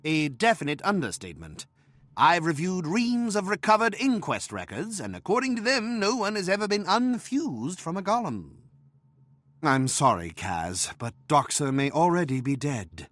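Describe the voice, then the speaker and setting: A man's voice: fairly high pitch, very animated, moderate pace, a bit bright, no echo. A man voicing a pompous, exacting small gnomish inventor who leads a research team in a video game, speaking precisely and formally. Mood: grave. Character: pompous, exacting male asura inventor leading a research krewe. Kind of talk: video game cutscene voice acting.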